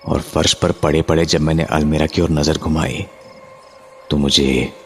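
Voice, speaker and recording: A man with a deep voice narrates a horror tale as if he lived it. He builds suspense with drawn-out, dramatic pauses. Music plays behind him. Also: steady tone, vocal fry. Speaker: narrator of a horror story, voicing its main character. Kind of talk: dramatic first-person horror storytelling.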